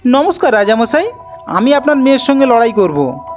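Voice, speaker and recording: A bold, clever young village hero from a fairy-tale cartoon, put on by a male storyteller. He speaks directly in a high male voice, with clear music in the background. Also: big pitch swings, moderate pace.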